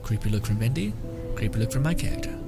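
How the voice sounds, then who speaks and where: A man's voice: fairly low pitch, animated, fast talker, vocal fry. Man rambling over game music. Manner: rambling, swears casually. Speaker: game streamer. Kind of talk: casual gameplay commentary.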